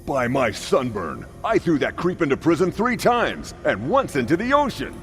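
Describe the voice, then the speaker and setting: A man's voice: a little high, wildly swooping pitch, moderate pace, a bit bright, faint music behind. An actor voicing a boastful, hot-headed male warrior in a video game, with a blustering, hearty manner. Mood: indignant bluster. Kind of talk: game cutscene acting.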